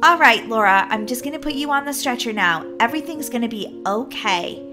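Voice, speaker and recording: A woman playing a brisk, kindly female paramedic in a children's skit, reassuring and a little bossy. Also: fairly high pitch, lively intonation, over music, voice pushed down, close to an everyday voice. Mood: soothing, brisk. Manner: soothing, bossy, calls patients honey. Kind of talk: playful skit role-play for children.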